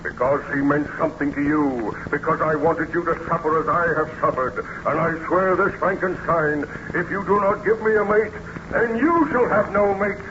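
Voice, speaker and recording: An actor playing a hideous, lonely, vengeful male monster in slow, declamatory 1930s radio drama style. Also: on the high side, steady tone, natural voice. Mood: vengeful, threatening. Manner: slow, declamatory, brooding, with drawn-out phrases. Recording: muffled, noisy 1930s radio recording.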